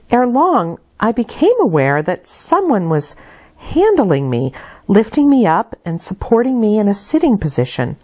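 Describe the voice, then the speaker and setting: A woman reading literary narration aloud as an audiobook reader, with deliberate, dramatic phrasing. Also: fairly low pitch, darkish tone. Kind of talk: expressive scripted literary narration.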